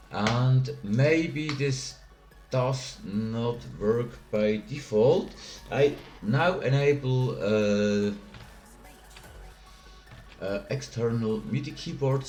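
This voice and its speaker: Swiss german guy doing a software tutorial, lots of ums, echoey room, faint music behind. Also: on the low side. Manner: hesitant, halting with many fillers. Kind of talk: screen-recorded software tutorial.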